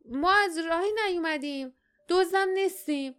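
A woman's voice: high-pitched, expressive, soft background music, voice pushed up. A woman voicing an outspoken young adventurer girl in a storybook, with a direct, colloquial, pointed manner. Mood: indignant. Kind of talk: dramatized audiobook character voice.

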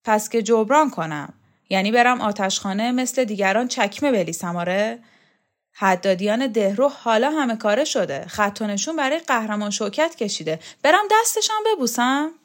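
A woman's voice: fairly high pitch, lively intonation, natural voice. A woman voicing a blunt, coarse middle-aged strongman who scolds and swears. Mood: angry. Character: tough, blunt middle-aged male strongman, scornful and gruff.

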